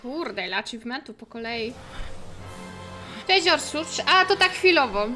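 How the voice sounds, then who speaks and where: Bright, high-voiced Polish woman streaming. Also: very animated, normal speed, faint music behind. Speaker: game streamer reacting to cutscenes. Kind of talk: casual live commentary.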